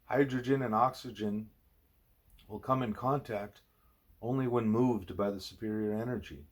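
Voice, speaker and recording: A man's voice: lowish voice, normal speed. A man reading a spiritual book aloud in the manner of an audiobook narrator. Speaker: audiobook reader.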